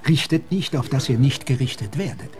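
An actor playing a formal, upright military gentleman in a radio play, polite and moralizing. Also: mid-range voice, lively intonation, fairly quick, lightly acted. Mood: courteous, principled. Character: formal, upright military officer with strong principles. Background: faint music.

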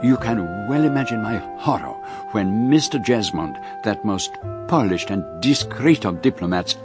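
A man playing a fussy, courteous middle-aged detective, precise and measured, in a radio drama. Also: mid-range voice, lightly acted. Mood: horrified, fussy.

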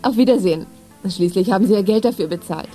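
A cool young woman played by an actress in a children's radio play, offhand and unsentimental. Her voice is mid-pitched and mellow, with faint music behind it. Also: quick pace, lightly acted, poor audio. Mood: cool, dismissive. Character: Katrin, cool, unsentimental young woman, secret cat thief. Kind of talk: 1980s German children's radio play acting.

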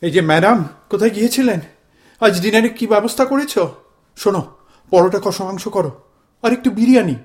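A man's voice: sits high, fast talker, pauses often, close to an everyday voice. A man acting in a radio play as a self-important, indignant middle-aged Bengali father who declaims and hectors like a zealous protester. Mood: bossy, self-pitying.

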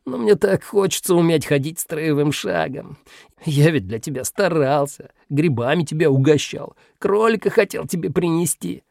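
A man's voice: on the high side, moderate pace, vocal fry, a little mellow, dry recording, clean studio sound, voice pushed up. A man voicing a sly, whiny little minus sign, a wheedling troublemaker in a children's story. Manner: wheedling, pleading, evasive.

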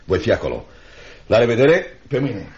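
A man's voice: mid-range voice, huge pitch swings, a little mellow. An actor in a Romanian radio play as a stern, scornful, miserly uncle, a brusque and domineering man of business. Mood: sardonic, curt. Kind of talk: Romanian radio theatre acting. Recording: dull, muffled old radio recording.

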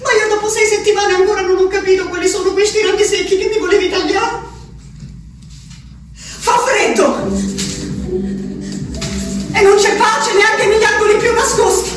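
An actress playing a desperate, furious asylum inmate in a theatrical radio drama monologue, intense, accusing and pleading. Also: female voice, very high-pitched, fairly even intonation, moderate pace, pauses often. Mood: anguished, accusing. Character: desperate, furious woman locked in an asylum, writing letters. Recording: very echoey, distant, music behind.

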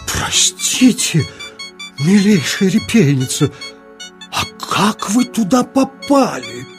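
An actor playing a pompous, gallant, boastful beetle in a children's audio play, with a husky, blustering, mannered delivery. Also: male voice, high-pitched, sing-song, slow pace, soft background music, exaggerated voice. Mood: baffled. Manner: blustering, mannered, hesitant interjections.

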